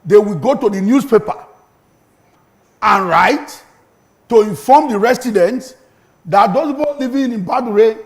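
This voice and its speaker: A film producer in his fifties, a guest on a talk show, speaking earnestly and deliberately. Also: high voice, lively intonation. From Nigeria. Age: 50s. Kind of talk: panel talk show discussion.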